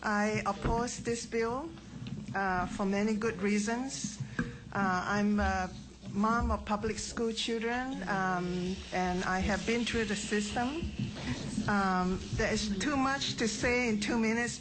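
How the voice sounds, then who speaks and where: A woman's voice: medium pitch, fairly even intonation, slow. Chatty woman testifying over noise. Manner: conversational, with frequent fillers. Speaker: public testifier. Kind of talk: public testimony. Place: legislative hearing room. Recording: clear background noise.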